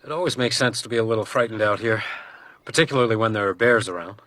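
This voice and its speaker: A man's voice: lowish voice, fast, fairly bright tone, dry recording, lightly acted. A man acting a guarded, wary wilderness guide in a radio drama, speaking in a terse, understated, natural way. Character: guarded, wary male wilderness guide, older than his companion.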